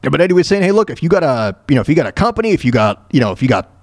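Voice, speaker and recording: Lively, higher-pitched man hosting a show. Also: rapid-fire, no echo, clean studio sound. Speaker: show host. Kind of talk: casual rambling talk, show sign-off.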